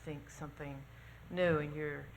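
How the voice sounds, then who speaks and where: This is a woman speaking from the audience, working out her question in a searching, hesitant way. Her voice is low and stays very level, with a faint room hum behind it. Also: fairly even intonation, unhurried, poor audio. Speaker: audience member.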